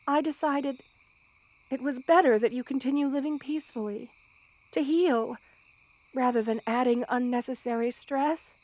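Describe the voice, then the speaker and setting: High-voiced, motherly spider queen played by a woman. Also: a little mellow, no echo, natural voice. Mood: earnest, explaining. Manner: formal, measured, tender, soothing phrasing. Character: motherly, gentle two-hundred-year-old spider queen monster woman. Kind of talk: ASMR roleplay, intimate scripted monologue to listener.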